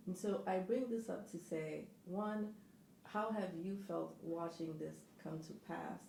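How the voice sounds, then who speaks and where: A woman interviewer asking long, measured and thoughtful questions. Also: lowish voice, gravelly, darkish tone, a little hiss or hum. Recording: echoey room.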